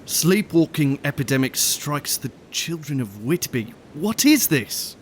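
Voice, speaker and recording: An actor playing an impatient, sceptical gentleman in an audio drama, with a brusque manner. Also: fairly high pitch, big pitch swings, a little slow, a little hiss or hum. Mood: uneasy, reading. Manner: brusque, demanding.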